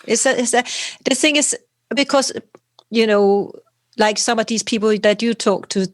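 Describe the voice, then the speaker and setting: A woman's voice: on the high side, normal speed, no echo. Guest spot: young woman from Denmark, loose rambling talk, dry close mic. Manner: rambling, loose, ends points with tag questions. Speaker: potter guest. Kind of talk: casual interview chat.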